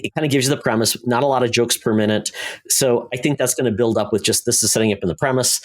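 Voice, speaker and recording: A man chatting casually as a podcast co-host giving reviews, in a halting, rambling way where he often interrupts himself. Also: medium pitch.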